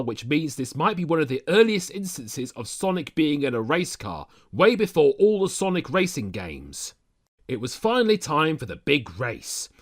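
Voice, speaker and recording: A man's voice: a little high, fairly bright tone. A man reading scripted narration, retelling a cartoon adventure episode as a storyteller. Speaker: narrator of an animated-series recap.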